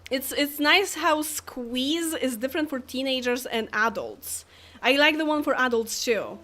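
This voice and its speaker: A young woman with a slightly high voice, chatting through a live game stream. She laughs often and talks to the characters on screen as she plays. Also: lively intonation, measured pace, a bit bright. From Poland. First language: Polish. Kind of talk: casual live game commentary.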